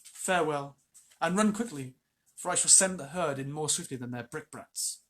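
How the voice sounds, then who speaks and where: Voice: mid-range voice, moderate pace, natural voice. A storyteller voicing a proud jungle boy who gives firm, scornful commands.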